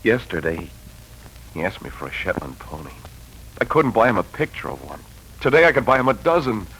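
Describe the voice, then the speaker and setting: A man's voice: fairly low pitch, very animated, fairly quick, a bit bright, slight background noise, low-quality recording. An actor playing an intense, emotional young husband and father in dramatic 1940s radio style. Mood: grief-stricken. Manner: emotional, dramatic, swooping delivery. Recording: old 1940s radio recording.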